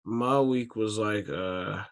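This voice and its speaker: A man's voice: lowish voice, clean tone. Drowsy congested young man. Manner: slow, rambling, drowsy. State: congested from allergies. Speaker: podcast co-host.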